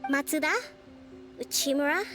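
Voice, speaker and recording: A woman voicing a composed young female military leader in a video game, speaking in a measured, formal, articulate way. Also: high-pitched, fairly even intonation, over music, lightly acted. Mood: friendly, composed. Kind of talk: scripted video game dialogue.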